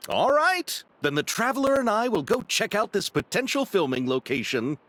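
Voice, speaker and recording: A man's voice: sits high, very animated, moderate pace, a bit bright, dry recording. An actor playing a hesitant, polite young male scriptwriter. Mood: tentative. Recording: game audio with faint music.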